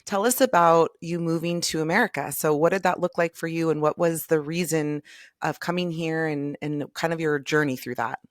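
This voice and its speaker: Low-voiced woman podcast host, dry sound. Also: steady tone, normal speed, no room sound. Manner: warm, effusive and encouraging. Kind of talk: podcast interview.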